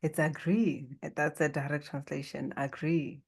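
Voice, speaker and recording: A woman from Botswana whose first language is Setswana, a guest on a podcast who speaks in a calm, reflective and inviting way. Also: deep voice, measured pace, husky. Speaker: guest, life coach. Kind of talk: podcast interview.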